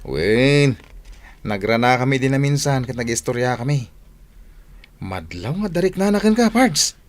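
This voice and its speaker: A man playing a lively, caring young best friend in an Ilocano radio drama, teasing and full of exclamations. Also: medium pitch, measured pace, pauses often. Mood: teasing, upbeat. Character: lively, concerned young male best buddy.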